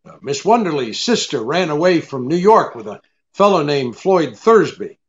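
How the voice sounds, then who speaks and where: An actor playing a cool, brisk, sardonic private detective in an old-time radio drama, with a clipped, take-charge manner. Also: male voice, on the high side, very animated. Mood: businesslike. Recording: dull lo-fi radio-play recording.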